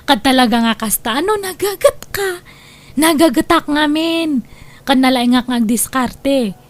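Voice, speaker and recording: A woman's voice: high-pitched, animated, a little slow. A woman playing a thrifty, self-assured young businesswoman in a family comedy drama. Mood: firm, self-assured. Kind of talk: Ilocano comedy drama acting.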